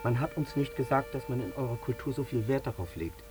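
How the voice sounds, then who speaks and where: Actor as guilt-ridden, halting air traffic controller. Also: male voice, mid-range voice, dark tone, faint music behind, lightly acted. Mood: anguished remorse. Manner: halting, pleading, anguished. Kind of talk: radio drama acting.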